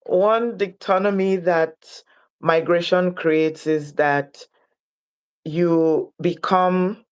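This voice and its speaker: A woman from Nigeria, speaking as a guest in an interview, reflective and deliberate in her delivery. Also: fairly low pitch, fairly even intonation, smooth. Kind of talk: interview conversation.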